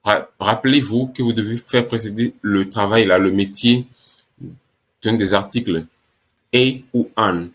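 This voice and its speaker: Slow, low-voiced male English teacher. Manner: slow, repeats each phrase in French and English. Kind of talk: French-language English lesson.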